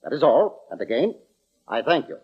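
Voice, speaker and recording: An actor playing a courtly, anxious British orchestra conductor in a formal 1940s radio drama style. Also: male voice, medium pitch, big pitch swings, moderate pace, lightly acted. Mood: grave, pleading. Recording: dull, band-limited old radio recording.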